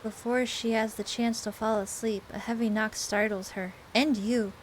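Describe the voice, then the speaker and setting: A woman with a lightly high voice reads a visual novel aloud for a playthrough. She is expressive and playful, slipping between narration and chatty commentary. There is some background noise. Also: normal speed, rough recording. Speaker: gamer host reading a visual novel aloud. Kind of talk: playthrough commentary and dramatic reading.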